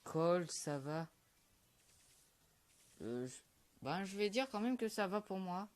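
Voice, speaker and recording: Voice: medium pitch, expressive, slow. A young streamer talks over his match in a loose, mumbled way. He drifts along with frequent fillers and half-finished thoughts, chatting to his viewers in an offhand manner. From Quebec, Canada. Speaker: gaming YouTuber. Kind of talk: casual gameplay commentary.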